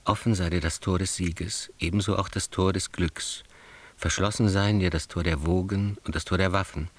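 A man's voice: low voice, fairly even intonation, moderate pace. A man reciting an old blessing in a solemn, incantatory manner.

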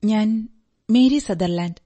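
A woman's voice: mid-range voice, slow, dark tone. A woman playing an earnest, talkative, polite client who explains her troubles in detail in a radio drama. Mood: polite, curious. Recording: radio drama with music behind. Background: music.

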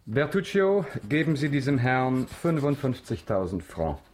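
A man's voice: mid-range voice, sing-song, unhurried, a little hiss or hum. An actor playing a commanding aristocratic count in a German radio drama, polished, ironic and probing in his questions. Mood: cool, commanding.

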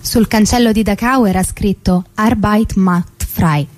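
An actress playing a chronicler who recites grave official statements in a formal radio drama style. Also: female voice, mid-range voice, a little slow, a little mellow, natural voice. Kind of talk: formal dramatic quotation reading.

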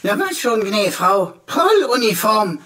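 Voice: mid-range voice, big pitch swings, a little slow, sharp tone, heavily acted. An actor in a radio play voicing an impudent pocket computer, whining and theatrical in mock-archaic phrases. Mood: mocking, pompous. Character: whiny, impudent talking pocket computer with florid speech.

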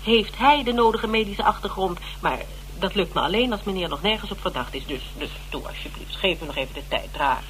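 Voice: medium pitch, expressive, fairly quick, a bit bright, natural voice. A determined investigator played by a radio drama actor speaks in a middling register. The delivery is persuasive and insistent, full of repetitions and tag questions, over light hum and noise. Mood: insistent, pleading. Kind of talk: Dutch radio drama acting. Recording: noisy old radio drama recording with hum.

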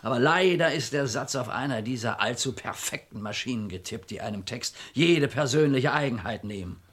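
An actor playing an exasperated, wary police commissioner in a German radio crime drama, gruff and sardonic. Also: male voice, medium pitch, animated, normal speed, fairly bright tone. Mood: irritated, uneasy.

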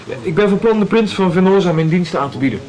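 An actor playing a polite, modest young traveller in a radio play, speaking hesitantly and courteously. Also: male voice, high-pitched, lively intonation, fast talker, a little mellow. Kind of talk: radio drama acting. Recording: radio play with faint background noise.

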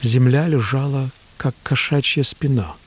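An actor playing a reciter of lyrical prose in a radio play, in a measured, literary manner. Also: male voice, medium pitch, natural voice. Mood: contemplative. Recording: faint hiss.

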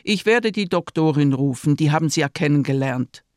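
An actress playing a brisk, condescending nurse in a radio crime drama. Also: female voice, low-pitched, expressive, a little mellow, dry recording, clean studio sound. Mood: brisk, patronising. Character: brisk, patronising, stout female nurse.

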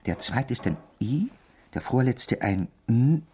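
An actor playing an absent-minded, chatty hotel receptionist in a German radio play. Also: male voice, mid-range voice, expressive, unhurried, a little mellow, a little hiss or hum. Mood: puzzling, chatty. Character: distracted male hotel receptionist absorbed in crosswords.

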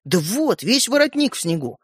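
Male reader as pushy storybook kid brother; brash, excitable, cheeky, pitched up and animated. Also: moderate pace, breathy edge, no echo, clean studio sound, full character voice. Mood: sly. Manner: brash, exclaiming.

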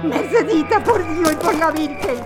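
A woman's voice: very high-pitched, animated, normal speed. A woman acting in an audio drama as a grief-stricken mother fleeing war, moaning and pleading. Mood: anguished. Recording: loud music and crowd behind.

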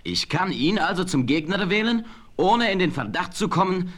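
Proud young chief, solemn and stately. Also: male voice, high voice, big pitch swings, moderate pace, a bit bright. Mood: proud, challenging. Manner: solemn, declamatory, stately phrasing. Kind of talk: 1970s western radio play acting.

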